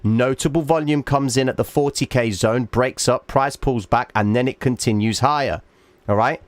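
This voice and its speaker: A man hosts a live trading stream, talking viewers through a chart and stopping often to ask "all right?" His voice is starting to go, and he sits at an average pitch for a man. The sound is dry and close, with no room echo. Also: moderate pace. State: losing his voice. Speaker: trading livestream host.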